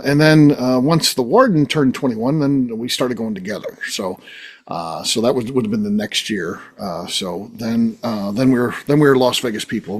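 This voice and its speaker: A man's voice: medium pitch, husky. A man in his fifties hosting a podcast, chatting casually and rambling with many restarts and fillers. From United States. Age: 50s. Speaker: podcast host. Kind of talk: casual chat.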